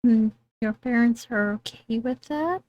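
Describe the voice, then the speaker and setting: Slow, dry-sounding woman setting game scenes. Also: a little high, a little mellow, no echo. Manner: questioning, thinking aloud. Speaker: tabletop role-playing game player.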